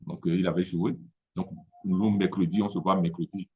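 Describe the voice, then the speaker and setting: A business coach from Togo, a man whose first language is Mina, speaking French with an Italian-influenced accent in a halting motivational talk, often repeating words as he searches for them. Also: sits low, level tone, highs cut off.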